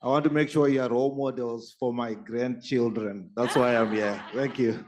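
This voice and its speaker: A man's voice: medium pitch, fairly even intonation, normal speed, a little mellow. An older man speaking on a panel with self-deprecating humor and hesitant uhs. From Zimbabwe.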